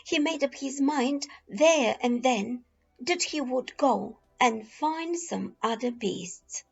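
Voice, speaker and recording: A woman reads a children's story very slowly, in a high, husky voice. She narrates expressively, lingering on phrases and leaving dramatic pauses. The sound is a little dull and lo-fi. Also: lively intonation. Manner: deliberate, dramatic pauses and drawn-out repeated phrases. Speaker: storyteller reading a children's picture book. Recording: dull lo-fi recording with music behind. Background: music.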